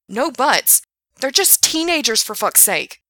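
A woman voicing a self-righteous young male park worker who shouts indignantly. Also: high voice, moderate pace, no room sound.

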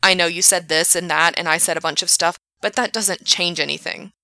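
A woman voicing an angry young male park worker who rants loudly and swears. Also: high-pitched, steady tone, a little fast, no room sound, clean studio sound, exaggerated voice. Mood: bitter. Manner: loud, swearing, ranting.

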